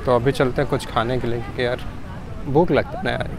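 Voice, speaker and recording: A man's voice: mid-range voice, fairly even intonation, fast, some chatter behind. Chatty young man vlogging on a beach. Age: young adult. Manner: chatty, teasing, mixes in English phrases. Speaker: travel vlogger. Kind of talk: casual vlog chat. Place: windy beach outdoors, then beach cafe. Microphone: lapel mic, selfie camera held at arm's length.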